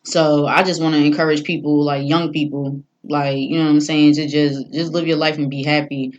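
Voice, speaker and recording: A woman's voice: low voice, level tone, moderate pace, dull audio. A young woman co-hosting a podcast, talking casually and often checking in with her listeners. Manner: conversational, often checks in with "you feel what I'm saying". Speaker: podcast co-host. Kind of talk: casual chat.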